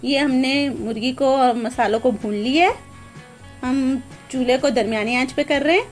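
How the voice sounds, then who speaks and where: A woman's voice: sits high, soft background music. A woman hosting a home cooking channel, explaining each step of a recipe patiently in a plain, homely way, recorded on a phone in her kitchen. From Pakistan.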